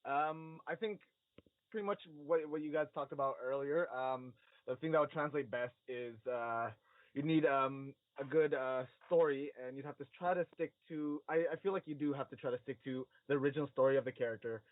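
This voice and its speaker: A man with a medium-pitched voice chats casually on a podcast. His delivery is hesitant and halting as he works out his opinion. The recording is narrow and phone-like, with no room sound. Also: no room sound. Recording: narrow phone-like band, dry.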